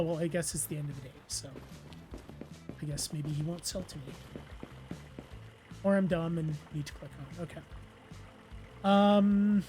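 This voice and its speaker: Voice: mid-range voice, darkish tone. Rambling gamer with a weakened, strained voice. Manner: rambling, filler-heavy, self-deprecating. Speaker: let's play host. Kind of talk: casual gameplay commentary.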